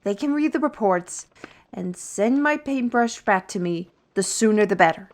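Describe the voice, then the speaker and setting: A woman playing a weary, irritable, paranoid artist with magical abilities in a fantasy audio drama, grumbling and halting. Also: mid-range voice, lively intonation, natural voice. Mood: exasperated, defensive.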